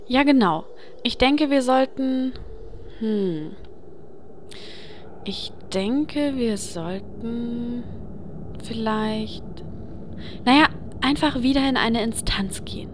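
Slow, pragmatic adventuring heroine played by a woman. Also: on the high side, a little hiss or hum. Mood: matter-of-fact. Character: pragmatic female fantasy game adventurer, group's planner. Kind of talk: audio comedy parody acting.

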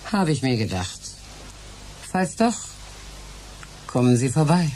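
A woman's voice: a bass voice, very animated, slow pace, background noise, full character voice. A woman playing a hard-nosed, mercenary music manager in a sci-fi radio drama, curt and sardonic. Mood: businesslike.

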